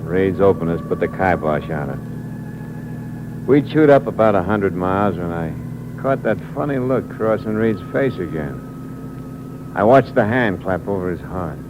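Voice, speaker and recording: A hard-boiled, deep-voiced drifter. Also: male voice, expressive, measured pace. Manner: terse, wry first-person narration. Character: hard-boiled male drifter narrating his own temptation. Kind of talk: 1950s radio drama acting. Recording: noisy old radio recording.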